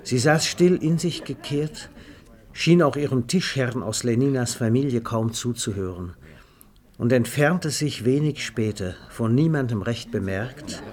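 A man narrating a radio play in a scripted literary style, with a husky voice. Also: mid-range voice, a little slow, darkish tone.

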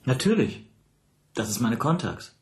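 An earnest, defensive man under questioning in a naturalistic radio drama. His medium-pitched voice is plain and precise, quick to take offence. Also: very animated, soft background music. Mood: puzzled. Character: earnest, indignant detained language teacher, an innocent man.

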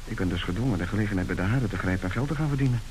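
A man's voice: fairly low pitch, rapid-fire, a little mellow, natural voice, low-quality recording. An actor in a radio play playing the brash, scheming young son of a rich businessman. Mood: cocky, scheming. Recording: old radio play with faint noise.

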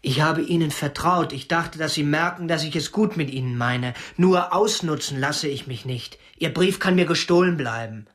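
An actor playing an uptight, obsessive young kidnapper in a radio drama, speaking in a stiff, curt, defensive way. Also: male voice, fairly high pitch, normal speed, hardly any pauses, a bit bright, close to an everyday voice. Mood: hurt, indignant. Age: young adult. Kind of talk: German radio drama dialogue.

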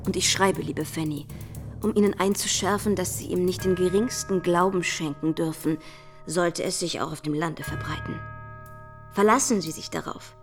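Eine Schauspielerin spielt in einem Hörspiel eine junge, weltgewandte Dame, die eindringlich und gewählt einen Brief vorträgt. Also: female voice, on the low side, moderate pace, faint music behind, lightly acted. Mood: urgent, insistent. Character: worldly, insistent young society lady writing letters. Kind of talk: radio drama acting, letter read aloud.

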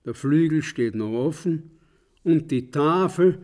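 An actor in a German radio play playing a genial aristocratic host with a courtly, measured manner. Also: male voice, fairly high pitch, lively intonation, mellow. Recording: faint music behind the voice.

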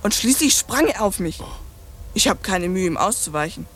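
A woman's voice: mid-range voice, animated, moderate pace, a bit bright, husky. A woman voicing a precocious, bluntly scornful young French girl in a radio play, telling stories in bossy, chatty slang. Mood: gleeful storytelling.

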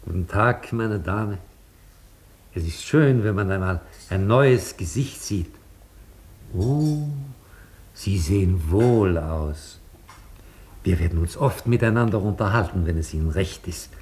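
An actor in a German radio play playing a genteel, vain old former opera singer with courtly, theatrical manners. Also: male voice, low-pitched, animated, slow, pauses often, a little mellow. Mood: courtly, wistful. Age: older.

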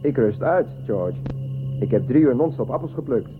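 Male-acted crewman, sci-fi radio play: polite, sincere, medium pitch, narrow lo-fi band. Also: animated, moderate pace, natural voice. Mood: earnest. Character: earnest, polite male crewman working in orchard. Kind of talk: science fiction radio drama acting. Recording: lo-fi phone-like band, music behind.

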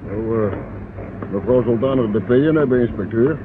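An actor playing a homely, puzzled older police sergeant in a radio play, hesitant and deferential. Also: male voice, mid-range voice, moderate pace, natural voice. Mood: sheepish. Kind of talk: old radio play acting. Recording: narrow, hissy old radio recording.

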